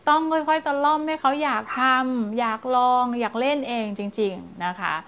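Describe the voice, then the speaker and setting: A woman in her thirties, a child development teacher, giving parents advice to camera and now and then acting out lively story voices to show how. She speaks on a lapel mic in a small indoor studio. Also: fairly high pitch, normal speed, vocal fry. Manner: animated, acts out scary and playful story voices to demonstrate. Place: small indoor studio in front of blue backdrop.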